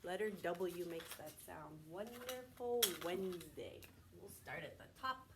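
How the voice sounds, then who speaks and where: Slow-paced woman teaching preschoolers. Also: fairly low pitch, animated, very noisy. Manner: slow, deliberate, child-directed with frequent prompting questions. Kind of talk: read-aloud and sing-song circle time for young children.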